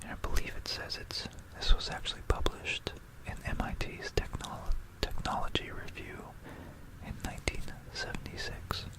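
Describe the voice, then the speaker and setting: An ASMR creator whispering about history in a hushed, rambling, musing way, close into a stereo microphone. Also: normal speed, low-quality recording. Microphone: close stereo binaural microphone.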